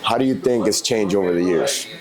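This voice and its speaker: A man's voice: fairly low pitch, a little fast, rough recording. A man hosting an interview, asking questions and giving short encouraging replies. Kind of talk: casual interview.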